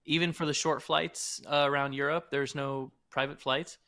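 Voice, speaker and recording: A man's voice: a little high, flat delivery, normal speed, sharp tone. A man hosting a talk show in a casual, conversational interviewing style.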